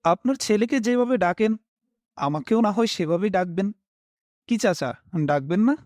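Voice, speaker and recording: A young man tells a horror story as its main character. His voice sits high for a man and moves with lots of melody. He reads as a scripted, expressive storyteller, slipping into polite dialogue. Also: normal speed, dry recording, studio quality. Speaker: first-person narrator and main character.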